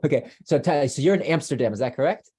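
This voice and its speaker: A fairly high-pitched man hosting a podcast interview. His tone is mellow and relaxed, and he talks in an upbeat, chatty way, stopping and restarting his sentences as he goes. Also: quick pace, slightly rough. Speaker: podcast host.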